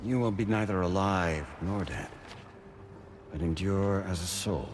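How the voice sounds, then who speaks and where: A man playing a calm, coldly paternal artificial intelligence in a video game, speaking in formal, measured, grandiose speeches over music. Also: sits low, background noise. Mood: serene, assured. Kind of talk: cinematic video game voice acting. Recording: music behind the voice.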